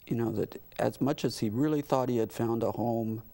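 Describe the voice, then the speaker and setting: An American man talking casually as an interview guest about community work, pausing often as he explains things. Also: mid-range voice, warm tone. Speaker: community theater representative, talk show guest.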